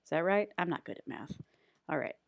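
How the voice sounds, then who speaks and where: A woman's voice: fairly low pitch, expressive, a little fast, dry recording, dull audio. A woman giving a casual, unscripted editing tutorial in a chatty, rambling way with self-deprecating humour.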